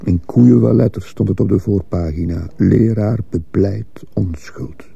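An actor playing a brooding, troubled man who narrates a radio play in the first person, grave and measured, with a gravelly, husky voice. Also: lowish voice, mellow, exaggerated voice. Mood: grave, bitter. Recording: muffled, dull radio play recording.

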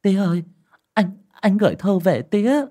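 A woman's voice: medium pitch, expressive, normal speed, mellow, close to an everyday voice. A woman playing a devoted young country woman in an audiobook, plaintive and pleading. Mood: excited. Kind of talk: audiobook drama acting.

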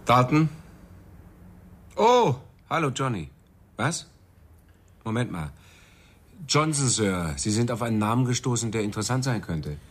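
An actor playing an easygoing but deferential police sergeant in a German radio crime drama, talking in a casual, matey way. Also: male voice, medium pitch, big pitch swings, unhurried, long pauses. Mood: casual, busy.

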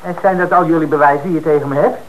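A man's voice: fairly high pitch, fairly even intonation, racing, lightly acted. An actor playing a stubborn young male suspect in his twenties, protesting indignantly in a Dutch radio play. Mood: stubborn, evasive. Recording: noisy, band-limited old radio recording.

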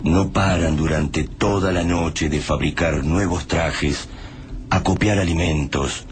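A man's voice: sits low, fairly even intonation, takes it slowly, a little hiss or hum. A man with an Argentine accent reading scripted narration for a radio drama. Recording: music bed behind narration.

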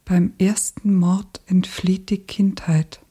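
A woman's voice: lowish voice, flat delivery, slow, warm tone, husky. An actress playing an anguished, bitterly disillusioned young woman revolutionary in a radio play, speaking breathily and intensely, often near a whisper. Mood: anguished, despairing. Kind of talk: German radio play acting.

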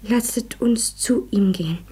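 An actress playing a woman solemnly reciting a Bible story in a radio drama, hushed, halting and half-whispered. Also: fairly high pitch, slightly rough.

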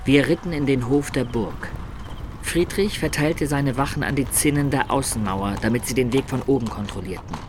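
A man plays an observant knightly companion narrating a radio play. His voice is mid-pitched, and he tells things in measured detail. There is faint background noise around him. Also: close to an everyday voice. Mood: measured storytelling. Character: loyal, observant male companion recounting a medieval campaign. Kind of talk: literary radio drama narration.

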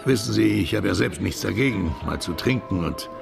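An actor playing a worldly, sentimental, garrulous émigré drinking companion in a radio drama, with a gravelly, conversational delivery. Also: male voice, lowish voice, animated, a little fast, over music. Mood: garrulous, tipsy ease. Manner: conversational, expansive, rhetorical asides.

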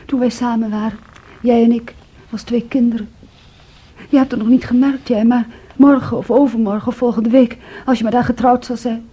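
A possessive, reproachful young woman in a Dutch radio drama. Her voice is somewhat high yet dark and breathy, and she talks intimately, with probing, pointed questions and remarks. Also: fairly even intonation, normal speed, muffled recording. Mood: bitter, hushed. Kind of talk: Dutch radio play acting.

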